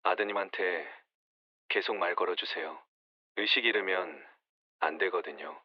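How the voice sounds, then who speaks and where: A man voicing a calm, formal emergency dispatcher heard over the phone in an audio drama. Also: low-pitched, normal speed, no room sound. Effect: phone line. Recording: dull phone-line sound.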